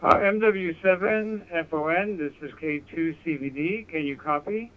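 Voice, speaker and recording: A narrow, phone-like radio transmission carries an American man's voice, fairly high and very clean in tone. He speaks as a ham operator, measured and polite, taking his time in a conversational exchange.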